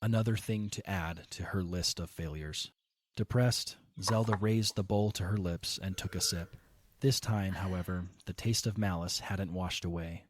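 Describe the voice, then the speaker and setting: A man narrating a fan audio drama in a calm, steady, scripted reading. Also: low voice, normal speed.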